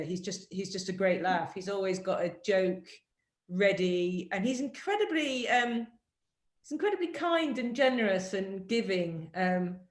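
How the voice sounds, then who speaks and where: A woman's voice: medium pitch, echoey room. An English woman with a mixed Yorkshire and Cornish accent, chatting as an author on a video call and talking in a reflective, rambling way. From England. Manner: chatty, reflective, with many fillers and restarts. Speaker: author and interview guest. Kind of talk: casual conversation over video call.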